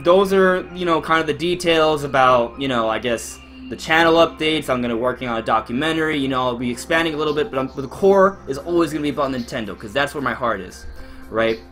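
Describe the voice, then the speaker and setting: A man's voice: medium pitch, a bit bright. A man in his twenties from Miami hosting a live Q&A stream, rambling casually with lots of fillers and restarts as he talks to his chat. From Miami, Florida, USA. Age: 20s. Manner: rambling and chatty, many fillers and restarts, addresses chat directly.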